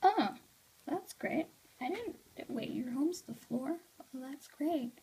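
A woman's voice: high-pitched, lively intonation, slow, vocal fry, warm tone. A girl chatting through blooper outtakes of her toy skit, rambling and self-mocking.

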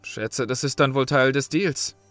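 A man's voice: mid-range voice, measured pace, a bit bright, dry recording, faint music behind, natural voice. An actor playing a sober, pragmatic male survival contestant in a horror audio drama, speaking matter-of-factly. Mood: calm, pragmatic. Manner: matter-of-fact.

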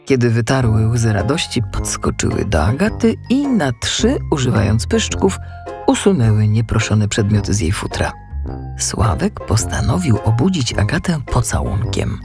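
A man narrating a scripted children's audiobook with expressive storytelling. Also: medium pitch, moderate pace, music in the background.

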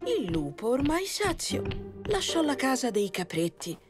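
Female narrator for children's stories: lively, varied pitch, expressive, music playing behind. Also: measured pace. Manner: expressive storytelling. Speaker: storyteller, narrator. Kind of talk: scripted children's fairy-tale narration.

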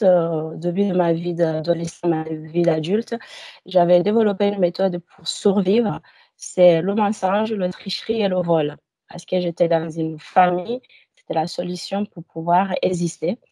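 A woman's voice: fairly low pitch, dark tone, no echo. A woman in her thirties, a hypnosis client on a video call, telling her personal story hesitantly with many fillers.

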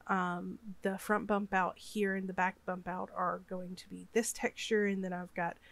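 A woman's voice: on the low side, level tone, normal speed, gravelly, fairly bright tone. A woman with a Southern US accent, sick and sniffly, chatting casually over her game build with lots of ums and uhs. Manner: rambling, chatty, many fillers.

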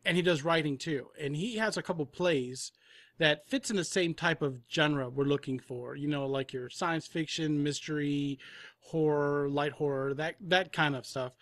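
Higher-voiced man explaining his work. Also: moderate pace, fairly bright tone, dry recording, studio quality. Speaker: audio drama producer and composer, guest. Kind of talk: conversational interview answers.

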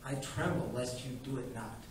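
A man's voice: a little high, expressive, moderate pace, natural voice. A man putting on a pompous old quack. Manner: haughty, grandiloquent. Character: pompous old male quack head-reader. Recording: very echoey room, mic at a distance, faint noise.

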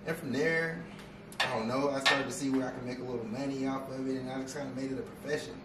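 A man's voice: medium pitch, quick pace. Young man chef walking through a recipe. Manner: steady, instructive, with fillers like "you know". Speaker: guest chef. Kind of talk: casual cooking demonstration. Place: large home kitchen. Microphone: far camera. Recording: echoey kitchen, noisy.

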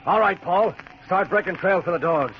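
An actor playing a ruthless, callous trapper in a 1940s radio drama, speaking in a hard, commanding way. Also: male voice, high voice, normal speed. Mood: cold. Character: ruthless male trapper, cold and brutal. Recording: dull old radio broadcast with hiss.

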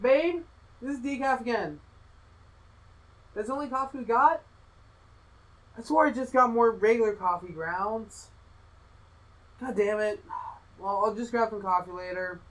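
A woman playing an excitable, mischievous gamer girl streamer who gleefully torments people in a livestream roleplay. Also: on the high side, measured pace, long pauses, lightly acted, rough recording. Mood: casual, annoyed.

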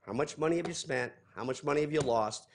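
A man's voice: a little high, fast talker, slight background noise. A man in his forties giving a heated, accusing public comment at a podium mic in a large council chamber.

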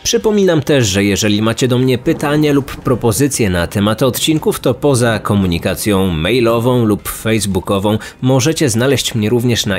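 A man hosting a podcast, reading a scripted true-crime narration. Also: mid-range voice. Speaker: podcast host. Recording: music under the voice. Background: background music.

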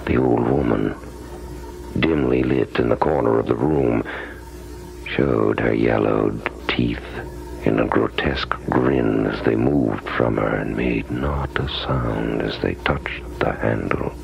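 A man's voice: rumbling low, fairly even intonation, vocal fry, soft background music. A man narrating a horror radio drama in an ominous, dramatic, menacing manner. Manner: slow, dramatic, menacing delivery. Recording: muffled old radio broadcast recording.

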